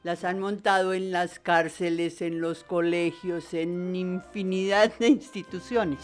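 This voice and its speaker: A woman giving measured commentary. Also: lowish voice, steady tone, faint music behind. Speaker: playwright's daughter commenting.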